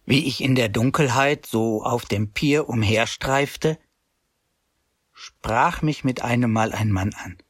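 A grown man looking back on the guilt of his youth, telling it in a measured, pious way. His voice is husky and sits at a middle pitch. It sounds close and dry, with no room around it. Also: no room sound. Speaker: first-person narrator. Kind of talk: scripted radio drama narration.

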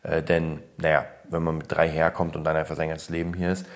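Man, very deep voice, from Berlin, casual podcast host, blunt, full of fillers and restarts. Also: monotone, fairly quick. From Berlin, born in Poland. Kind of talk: casual opinion chat.

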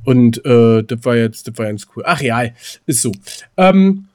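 A man's voice: mid-range voice, expressive, measured pace, a little mellow. A man in casual podcast chat, rambling and self-deprecating, with coarse slang. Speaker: podcast co-host. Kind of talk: casual chat, recounting his weekend.

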